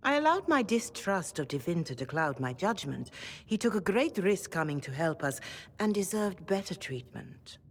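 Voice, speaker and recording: A gracious older female cleric from a fantasy game, with a low voice that moves in wide, expressive swings. She is devout and courteous, formal in her phrasing and gently frank, with a husky edge. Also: moderate pace. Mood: composed, sincere. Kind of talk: video game voice acting.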